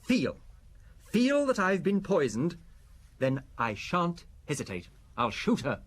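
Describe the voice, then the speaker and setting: An actor playing an anxious, fragile husband in an audio drama, halting and nervous yet obsessively insistent. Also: male voice, fairly high pitch, wildly swooping pitch, slightly rough, heavily acted. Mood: agitated, insistent. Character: anxious, fragile, obsessive husband fearing poison, quietly determined. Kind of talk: detective audio drama acting.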